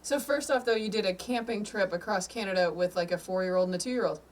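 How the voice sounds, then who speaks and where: A woman co-hosting a podcast in a studio, reacting with quick exclamations and plenty of laughter. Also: medium pitch. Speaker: podcast co-host. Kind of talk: casual interview chat. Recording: voice distant from mic.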